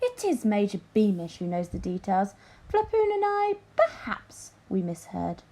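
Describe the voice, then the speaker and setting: A woman's voice: medium pitch, wildly swooping pitch, a little slow, warm tone, exaggerated voice. Woman playing an oily, scheming male lord. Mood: sly, insinuating. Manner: oily, feigned concern, formal. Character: scheming, smirking male lord, falsely deferential courtier. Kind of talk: children's storybook character voice.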